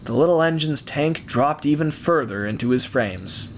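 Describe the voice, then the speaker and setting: A man narrating a scripted story in a theatrical, measured way, with deliberate pauses. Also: on the high side, lively intonation, a little hiss or hum.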